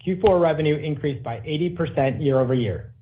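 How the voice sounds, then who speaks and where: A man's voice: a little high, brisk. Male executive for an investor call, businesslike and corporate, heard over a narrow phone line. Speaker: company executive. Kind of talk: earnings call presentation and answers. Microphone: conference call line.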